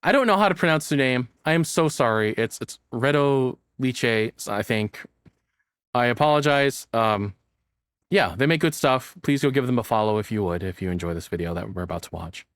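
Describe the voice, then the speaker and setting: A man's voice: mid-range voice, expressive, normal speed, a bit bright, no echo, studio quality. A man reacting to a video as a streamer, chatting casually and swearing freely.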